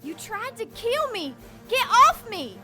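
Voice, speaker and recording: A woman's voice: very high voice, big pitch swings, slow, pushed and bright, faint music behind, heavily acted. A woman playing a suspicious, frightened young small-town woman in an audio drama, speaking in an accusatory, confrontational way. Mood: panicked.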